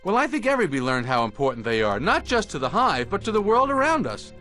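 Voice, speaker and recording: A man's voice: sits high, wildly swooping pitch, fast, sharp tone, soft background music, heavily acted. An actor playing an earnest, plucky young male bee in a cartoon game, with a casual, wisecracking, self-deprecating manner. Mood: sheepish, earnest.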